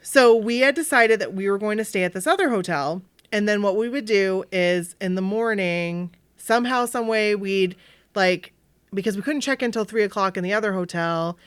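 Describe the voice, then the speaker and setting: A woman's voice: medium pitch, moderate pace, clean tone, no echo. Podcast co-host, woman, sniffly, rambling chatty delivery, dry close mic. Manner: chatty, anxious storyteller, lots of "like" fillers. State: sniffly, slightly congested. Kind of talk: casual chat. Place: indoor room, downstairs, air-conditioned. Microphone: studio microphone.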